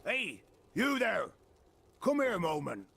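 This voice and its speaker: An actor playing a roguish, chummy port guide in a fantasy game, with a raspy, breezy, colloquial delivery. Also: male voice, high voice, wildly swooping pitch, unhurried, bright, forceful tone, a little hiss or hum, full character voice.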